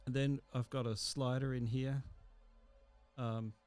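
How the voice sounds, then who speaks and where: A man narrating a software tutorial in a casual, explanatory and somewhat hesitant way. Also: medium pitch, little pitch movement, a little slow, smooth, soft background music.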